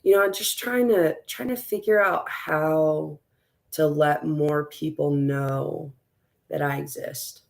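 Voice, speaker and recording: Raspy-voiced woman rambling with fillers. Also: low voice, fairly even intonation, takes it slowly. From West Texas. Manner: rambling, many fillers and restarts. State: raspy, strained voice. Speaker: school board candidate, guest. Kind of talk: casual conversational interview.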